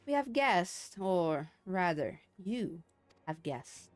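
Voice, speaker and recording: A woman's voice: lowish voice, very animated. A woman voicing a gracious, formal female leader from a fantasy game, reading in stately phrases. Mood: composed. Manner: formal, measured, stately wording. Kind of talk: video game dialogue read aloud. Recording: game music behind the voice.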